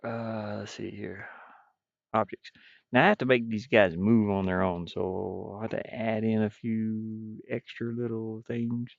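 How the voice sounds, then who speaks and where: A man with a gravelly voice rambles and mutters to himself, thinking aloud casually as he streams his game development work. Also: fairly low pitch, fairly even intonation, measured pace, no echo. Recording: dull, muffled, dry lo-fi microphone.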